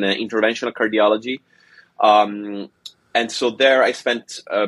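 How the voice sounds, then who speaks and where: A man in his forties from Italy, speaking as a cardiologist guest, measured with frequent hesitations. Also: fairly low pitch, fairly bright tone, no echo. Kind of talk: conversational interview.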